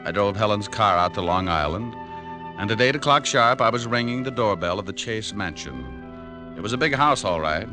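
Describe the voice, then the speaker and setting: Wisecracking private eye, low lively voice, man acting it on an old radio show with music behind. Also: moderate pace, close to an everyday voice. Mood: narrating. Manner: wisecracking, dry. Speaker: narrator and lead.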